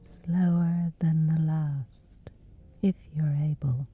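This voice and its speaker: Woman, whispery, soothing meditation guide, very slow, low voice. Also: steady tone, slightly rough, phone-quality sound, faint music behind.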